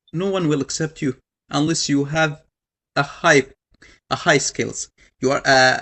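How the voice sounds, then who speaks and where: A young man from Yemen telling his own story to an audience, halting, with many fillers and restarts. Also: mid-range voice, a bit bright. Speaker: panel speaker. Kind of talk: personal story told to an audience.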